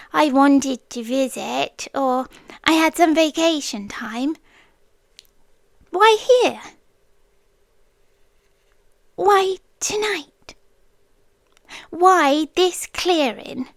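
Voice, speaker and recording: A British woman playing a wistful, devoted, inhuman childhood friend from the forest in an ASMR roleplay, speaking softly and intimately and often slipping into whispers. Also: high voice, drawn-out words, long pauses, dry recording, studio quality, full character voice. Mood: tender, probing. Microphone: close, dry studio-style microphone.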